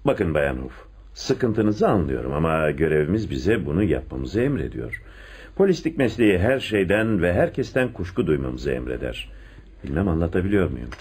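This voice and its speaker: An actor playing a courteous but easily flustered, smitten police inspector in a radio play, speaking formally with some hesitation. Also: male voice, low-pitched, very animated, moderate pace, a little mellow, husky, exaggerated voice. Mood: official, polite. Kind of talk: radio theatre acting. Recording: faintly noisy radio drama recording.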